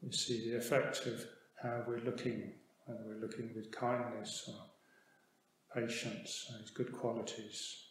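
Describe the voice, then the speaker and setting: A man teaching a guided meditation in a calm, measured manner with long pauses. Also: mid-range voice. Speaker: Buddhist monk meditation teacher. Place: meditation hall. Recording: very echoey hall, faint background noise.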